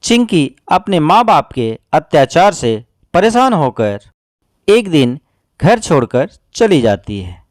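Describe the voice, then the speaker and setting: A man tells a scripted children's story as narrator. His voice sits fairly high and swings widely in melody, with slow, measured phrasing. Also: sing-song, no echo, clean studio sound.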